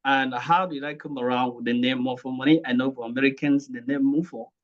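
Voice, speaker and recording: A Cameroonian-accented man with a medium-pitched voice speaks as a presenter at an online training session. His delivery is deliberate and halting, with words repeated as he goes. The sound is muffled and low in fidelity. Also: fairly even intonation. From Cameroon, English-speaking region. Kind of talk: informal presentation to an online group.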